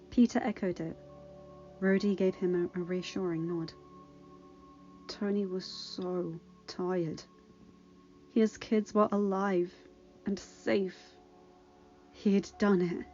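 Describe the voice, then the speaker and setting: A woman reading a story aloud as a podfic narrator, dramatic and with emphatic pauses. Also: mid-range voice, dark tone, dull audio.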